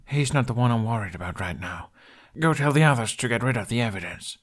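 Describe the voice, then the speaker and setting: A man's voice: medium pitch, animated, quick pace, close to an everyday voice. A man voicing a determined, self-sacrificing young male runaway in a fantasy audiobook, urgent and insistent. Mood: urgent insistence.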